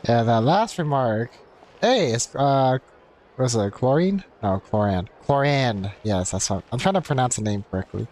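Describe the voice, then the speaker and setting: Casual male game streamer, medium pitch. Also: expressive, normal speed, darkish tone. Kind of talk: casual live gameplay commentary. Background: game music.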